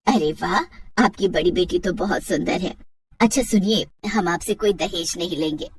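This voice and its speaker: A woman performs a middle-aged village mother from a cartoon moral tale. Her tone is low and slightly husky. She speaks humbly, with polite deference. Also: normal speed. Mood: pleased. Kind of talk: Hindi moral-story cartoon acting.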